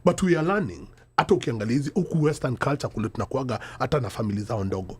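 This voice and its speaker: Middle-aged Kenyan man, husky, fast, lively pitch; code-switching panel guest who argues with rhetorical questions. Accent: Kenyan, mixing English and Sheng Swahili. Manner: code-switching mid-sentence, emphatic and storytelling, rhetorical questions. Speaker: podcast host. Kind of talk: animated panel discussion.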